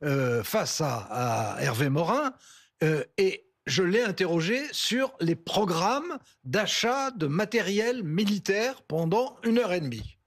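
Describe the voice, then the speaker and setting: Husky-voiced man telling a TV anecdote. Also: sits high, lively intonation. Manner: storytelling, halting with repeats and fillers. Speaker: guest on a TV debate. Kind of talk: anecdote on a TV panel.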